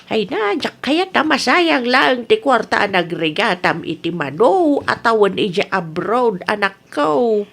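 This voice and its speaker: A woman playing an aggrieved, complaining Ilocano wife and mother in a radio comedy drama, with an Ilocano Filipino accent and an exasperated, expressive manner. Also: a little high, unhurried, vocal fry, hardly any pauses, heavily acted. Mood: disapproving.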